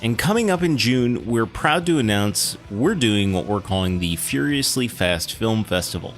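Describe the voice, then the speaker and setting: A man's voice: fairly low pitch, animated, normal speed, soft background music. A man hosting a podcast, chatting casually about films in a wry, joking, conversational way. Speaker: podcast host. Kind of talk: casual film discussion and show announcements.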